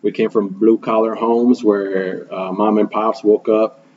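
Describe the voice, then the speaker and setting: A young man from Milwaukee whose first language is Spanish answers interview questions in an earnest, reflective way, often pausing on "um" and checking in with "right?". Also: fairly low pitch, flat delivery, muffled recording, a little hiss or hum. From Milwaukee, Wisconsin, USA. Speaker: guest, school program manager.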